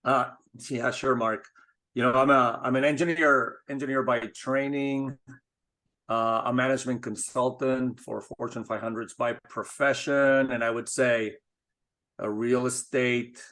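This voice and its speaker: Measured middle-aged man from Spain, interview guest, even mid-range voice. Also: mid-range voice. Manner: measured, thoughtful, with frequent restarts. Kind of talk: casual interview talk.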